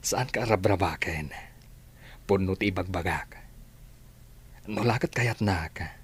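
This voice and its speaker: An actor playing an earnest widowed father courting a woman in an Ilocano radio drama, with a husky, breathy voice. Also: deep voice, measured pace, full character voice. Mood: earnest, pleading.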